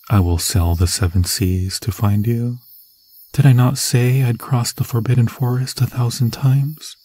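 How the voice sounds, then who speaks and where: A man's voice: fairly low pitch, dark tone, breathy edge, no room sound. A man playing an obsessed pirate captor in an ASMR fantasy roleplay, roguish and doting, with coaxing, theatrical endearments.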